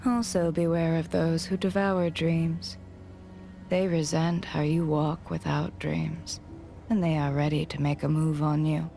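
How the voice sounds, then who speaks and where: An actress playing an enigmatic, detached woman guide in a video game, speaking in a measured, formal, cryptic way. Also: sits low, level tone, gravelly, warm tone, faint music behind. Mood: calm, mysterious.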